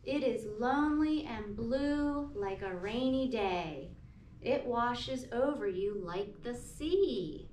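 A woman with a high voice reads a children's picture book very slowly. Her delivery is sing-song and aimed at small children. She is recorded in an echoey classroom. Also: lively intonation, a little mellow. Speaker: preschool teacher reading to children. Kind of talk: picture-book read-aloud for young children. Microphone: camera a few meters away. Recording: echoey room, distant mic, background noise.

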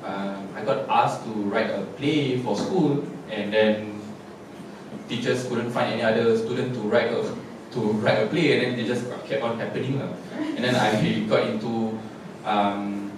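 Awkward, laughing man in an echoey hall. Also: fairly low pitch. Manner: awkward, self-deprecating, laughing. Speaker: playwright. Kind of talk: post-show panel talk. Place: theatre stage. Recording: echoey room, distant mic, noisy.

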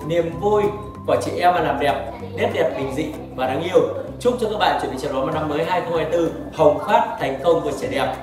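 Man, 30s, spa clinic host, salesy and upbeat, slightly high voice, echoey studio with background music. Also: brisk, far from the mic. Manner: upbeat salesy, frequent tag questions. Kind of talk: promotional customer interview. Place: decorated spa studio set, indoors. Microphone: lapel mic. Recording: echoey room, off-mic, music behind.

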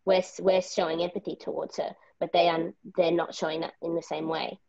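A woman's voice: fairly low pitch, level tone, brisk, vocal fry, mellow, highs cut off. A woman participant making a thoughtful, analytical spontaneous comment over a video call. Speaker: workshop participant.

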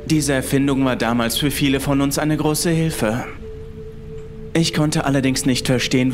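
A man reading a scripted first-person horror story as its narrator. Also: mid-range voice. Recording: music behind the voice. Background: background music.

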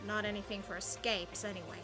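A woman's voice: mid-range voice, steady tone, brisk, bright, forceful tone, soft background music, natural voice. A woman voicing a put-upon, exasperated schoolgirl in a fan audio drama.

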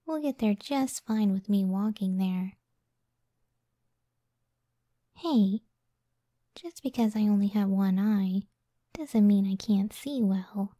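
A woman plays a devoted giantess cyclops wife in an intimate ASMR roleplay. Her voice is very soft and mellow, breathy and often close to a whisper. She speaks slowly and tenderly, with a self-doubting gentleness, in a dry, close recording. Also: mid-range voice, dry recording, lightly acted. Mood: gently teasing. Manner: slow, tender, unhurried.

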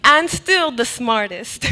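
Young woman poet, bright and slow-paced, reciting her verse into a stage mic. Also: fairly high pitch, slight background noise, rough recording. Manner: expressive, laughs between lines. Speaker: spoken word poet. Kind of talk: spoken word poetry performance. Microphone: handheld mic on a stand.

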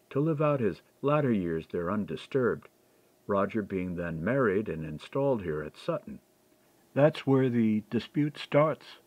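A man's voice: fairly low pitch, dry recording. A man reading audiobook dialogue as a candid, shrewd clerk who was once a monk. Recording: dull, lo-fi dry recording.

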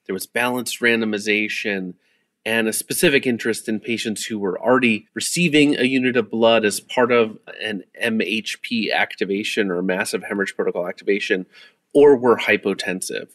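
A man's voice: medium pitch, a bit bright. A man hosting a medical podcast interview, summing up and putting questions to his guests. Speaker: host, physician journal editor.

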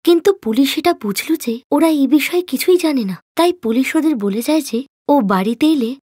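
A woman's voice: high voice, expressive, moderate pace, warm tone, dry recording, studio quality. A woman narrating a moral story as a storyteller, reading from a script.

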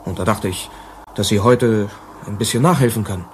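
A man's voice: on the low side, fairly quick, darkish tone, slight background noise, lightly acted. An actor playing an impatient, sceptical young male manager in a sci-fi radio play, questioning and pressing.

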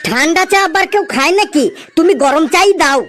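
A high-voiced, melodramatic cartoon customer, played by a woman. He is a boastful man who whines and protests with indignant exasperation. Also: lively intonation, brisk, a bit bright. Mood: exasperated. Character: boastful, exasperated male tea-stall customer cadging credit. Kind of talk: exaggerated comic cartoon dialogue.

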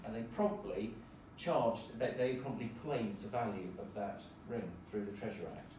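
A man giving measured, opinionated remarks as a panel speaker. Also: on the low side, a little mellow. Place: lecture room, indoors. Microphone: far camera in the audience. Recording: echoey lecture room, distant mic, noisy.

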